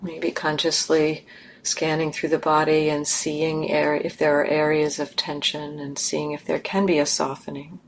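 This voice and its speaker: A woman leading a guided meditation in measured, instructive phrases with long pauses. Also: low-pitched, little pitch movement, a little hiss or hum, rough recording. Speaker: meditation teacher.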